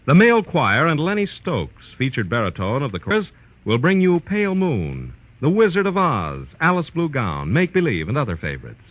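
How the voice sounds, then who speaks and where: American guy, old timey radio announcer, very lively formal delivery, dull lo-fi sound. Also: medium pitch, moderate pace, no echo. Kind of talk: 1940s radio announcing, scripted promo.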